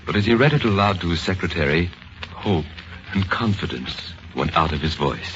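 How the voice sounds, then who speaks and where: A man narrating a radio mystery in a grave, deliberate way. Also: low-pitched, animated, noisy background. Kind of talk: scripted dramatic narration. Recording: dull old radio recording with music.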